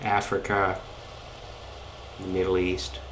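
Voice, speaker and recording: A man giving a casual, unscripted demonstration of a radio as a hobbyist, halting as he thinks aloud. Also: deep voice, monotone, noisy background. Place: indoors at a table. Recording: shortwave radio music playing behind. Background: shortwave radio playing music and broadcasts.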